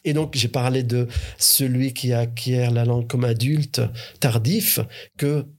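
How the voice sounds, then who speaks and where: A man's voice: mid-range voice, steady tone, measured pace. A man, a researcher, answering interview questions in a hesitant way, with many fillers and restarts. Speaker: researcher and university teacher.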